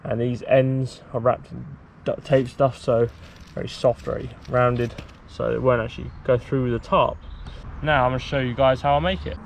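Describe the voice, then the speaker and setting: A young man casually explaining a DIY build outdoors, talking to a handheld camera in a relaxed, rambling way. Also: mid-range voice, moderate pace, vocal fry, slight background noise. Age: young adult. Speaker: sailing vlogger. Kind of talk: casual how-to vlog. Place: outdoors in a garden, later in a shed. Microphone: handheld camera at arm's length.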